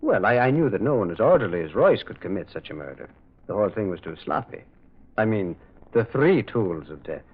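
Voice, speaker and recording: An actor playing a gentle, earnest priest detective in an old radio mystery, kindly and moralizing, with a hesitant way of speaking. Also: male voice, on the low side, big pitch swings, a little fast, slightly rough. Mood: mild, reflective. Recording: thin, phone-like old radio recording.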